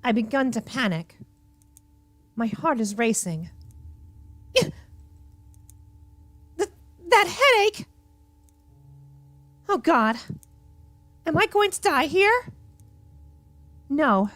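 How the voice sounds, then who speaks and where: A woman's voice: sits high, big pitch swings, drawn-out words, long pauses, fairly bright tone, no echo, full character voice. A woman voicing a panicked, drugged female captive in a visual novel, with dramatic exclamations.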